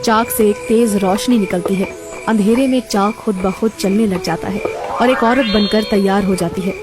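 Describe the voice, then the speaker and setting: A woman's voice: medium pitch, moderate pace. A woman telling a scripted cartoon story as its narrator. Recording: background music throughout.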